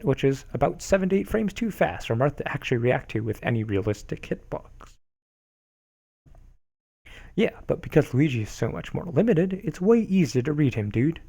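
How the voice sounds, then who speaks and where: A man reading online forum arguments aloud in a deadpan dramatic style, even speaking the punctuation. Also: medium pitch, gravelly. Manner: reads punctuation and likes aloud flatly. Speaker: reader of online posts.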